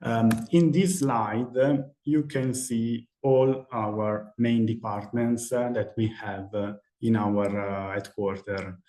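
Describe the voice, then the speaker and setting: A man's voice: fairly low pitch, steady tone, slow pace, hardly any pauses, warm tone, roomy sound. A man from Italy with an Italian accent, a recruiter giving a live webinar presentation, hesitant and full of fillers. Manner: hesitant, many fillers and restarts. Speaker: talent acquisition recruiter presenting.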